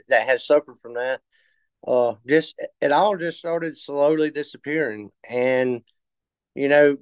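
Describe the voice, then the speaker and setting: A man of fifty telling his story in a casual interview, halting with many fillers and restarts. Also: medium pitch, smooth, dry recording. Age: 50s. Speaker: interview guest.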